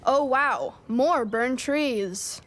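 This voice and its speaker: A woman's voice: high-pitched, very slow, fairly bright tone, a little hiss or hum, exaggerated voice. An actor playing a bookish, sarcastic young boy who is mad about mythology, eager or whiny, in an audio adventure drama. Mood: sulky. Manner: eager, whiny when bored.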